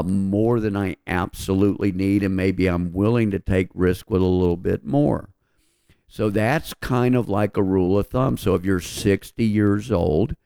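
A man's voice: fairly low pitch, vocal fry, a little mellow, no echo, studio quality. A man explaining finances as an advisor on a talk show, in a folksy, patient way.

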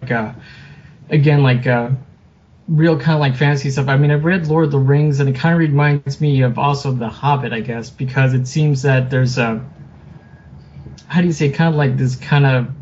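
A man's voice: fairly high pitch, fairly even intonation, moderate pace, a little mellow, muffled recording. A man chatting casually as an interview guest, rambling and self-deprecating. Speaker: podcast guest, author and film producer.

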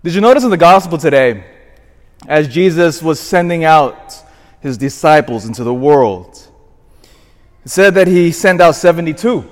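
A man in his forties gives a sermon as parish priest, his voice pitched high and moving freely. He leans hard on some lines and drops quietly on others. A long reverb from the church trails every phrase. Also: normal speed. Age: 40s. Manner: animated, swings from shouted emphasis to quiet asides.

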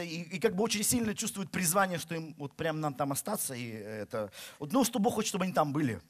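A middle-aged man from Russia preaching a sermon, with emphatic delivery that rises and falls. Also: sits high. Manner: emphatic, rising and falling delivery. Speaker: pastor.

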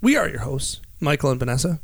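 Hoarse joking man losing his voice. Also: fairly high pitch, sing-song, fast, no echo, studio quality. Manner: joking, rambling, self-correcting. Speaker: radio show co-host. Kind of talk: casual banter.

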